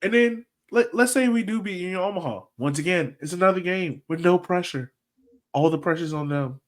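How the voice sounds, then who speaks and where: A man's voice: high-pitched, a little fast. A man hosting a casual sports podcast chat, easygoing and slangy, often repeating phrases for emphasis. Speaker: podcast host.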